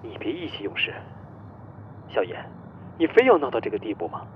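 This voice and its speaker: A man's voice: mid-range voice, very animated, fairly quick, lo-fi sound, a little hiss or hum, close to an everyday voice. A man playing a possessive boss and obsessive spurned lover in a melodramatic Chinese audio drama. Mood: frustrated, reproachful.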